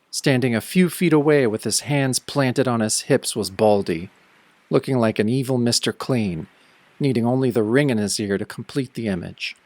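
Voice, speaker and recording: A man playing a brooding, tough hero who narrates an audio drama in the first person with grim, deliberate delivery. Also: medium pitch, no echo, lightly acted. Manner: grim, deliberate hardboiled storytelling. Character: brooding tough male hero recounting his nightmare. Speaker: narrator and protagonist.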